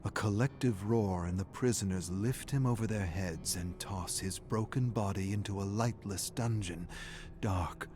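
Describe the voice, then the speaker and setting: Male actor as ominous horror game narrator, lowish pitch, grave, deliberate, dramatic, music underneath. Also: close to an everyday voice. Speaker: narrator of game lore readings.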